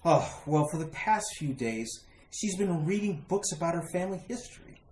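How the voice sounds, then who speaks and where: A man's voice: on the high side, animated, moderate pace, a little mellow, slight background noise, close to an everyday voice. An earnest, worried husband played by a man protests in the manner of an old horror radio play. His hesitant, reasonable speech swings widely up and down. The sound is roomy and echoing. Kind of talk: retro radio horror acting.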